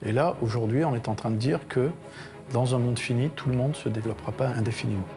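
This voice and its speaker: A man speaking in a measured, reflective way in a documentary interview. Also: medium pitch, music in the background. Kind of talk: interview commentary in a documentary film.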